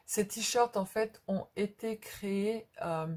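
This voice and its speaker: Slow, husky middle-aged woman. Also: mid-range voice, a little mellow. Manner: hesitant, rambling, repeats herself. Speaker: craft video host. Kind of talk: casual unscripted vlog chat.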